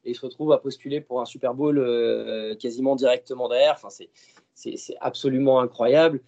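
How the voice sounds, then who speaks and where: Mellow-voiced man on a lo-fi podcast line. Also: mid-range voice, fairly even intonation, brisk. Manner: chatty, rambling, many fillers and restarts. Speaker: podcast guest. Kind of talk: casual podcast chat. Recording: dull lo-fi sound.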